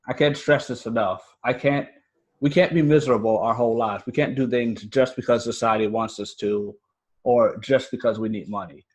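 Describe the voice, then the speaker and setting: Male podcast guest, American accent, mid-pitched voice, informal and earnest. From United States. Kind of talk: casual interview talk.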